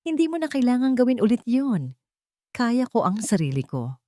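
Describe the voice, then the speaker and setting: A woman's voice: fairly high pitch, sing-song, moderate pace, dark tone, no room sound, voice pushed up. Woman voicing a cold, guarded young Filipino wife. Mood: formal, cold. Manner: formal, cold. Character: guarded, proud young wife keeping her distance.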